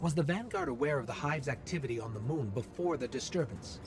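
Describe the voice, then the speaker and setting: An actor voicing a small, eager, inquisitive robot companion in a video game, earnest and alert. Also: male voice, fairly high pitch, expressive, fairly quick, background noise. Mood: alert, concerned.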